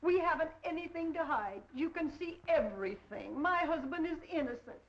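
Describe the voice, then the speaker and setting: A woman's voice: high voice, animated, normal speed. A woman playing an anxious, earnest wife pleading for her husband in a 1930s film. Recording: muffled old 1930s film soundtrack.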